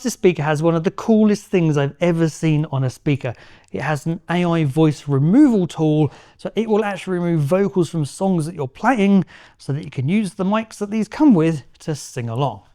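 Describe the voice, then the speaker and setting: A man's voice: on the high side, warm tone. A man giving a casual, upbeat product review walkthrough as a tech reviewer.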